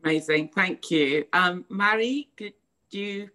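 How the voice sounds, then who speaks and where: A woman with a lowish voice hosts a panel discussion. She speaks with enthusiasm but stumbles and restarts her sentences often. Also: pushed and bright. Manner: enthusiastic, halting, with many restarts. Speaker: panel moderator. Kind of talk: hosting a panel talk.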